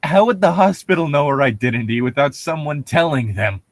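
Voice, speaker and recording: A man's voice: a little high, lively intonation, fairly quick, no echo. A man reading aloud casually and jokingly, irreverent, sweary and giggly, stumbling over the text as he banters. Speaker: co-host of a creepypasta read-along.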